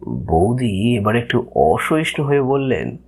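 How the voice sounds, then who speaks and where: A man with a husky voice reading scripted narration for an audio story. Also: medium pitch, very animated, measured pace, darkish tone, faint music behind.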